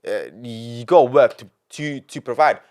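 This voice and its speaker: A man's voice: mid-range voice, moderate pace, a little mellow. A nineteen-year-old man with a London accent, a podcast guest talking in a rambling, emphatic way, with lots of restarts and repetition. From London, England. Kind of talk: casual podcast interview.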